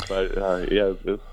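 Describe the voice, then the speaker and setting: A man with a hoarse, raspy voice after celebrating, answering casual interview questions in a halting way, repeating words as he goes. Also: low-pitched, darkish tone. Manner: halting, repeats words as he gathers his thoughts. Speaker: interview guest, hockey team co-owner and businessman. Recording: dull, phone-like band-limited line.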